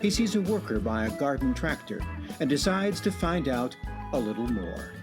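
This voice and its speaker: A man narrating and hosting a community radio play in a measured, wry storytelling manner. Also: medium pitch, big pitch swings, over music. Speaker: narrator and show host. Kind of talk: scripted radio drama narration.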